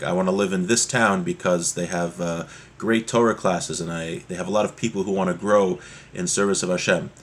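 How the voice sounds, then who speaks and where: A man giving a religious lesson as a teacher, explaining a text point by point and repeating key ideas, in a husky voice. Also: low-pitched, slight background noise. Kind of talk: Torah lesson, reading Hebrew text and explaining it.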